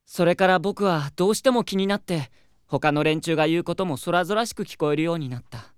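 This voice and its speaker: A man's voice: a little high, brisk, smooth, no echo, clean studio sound, close to an everyday voice. A man playing a proud, hot-headed young idol singer in an audio drama, earnest and theatrical, also narrating his own story. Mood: reflective narration. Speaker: lead character and narrator. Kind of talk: anime audio drama acting with first-person narration.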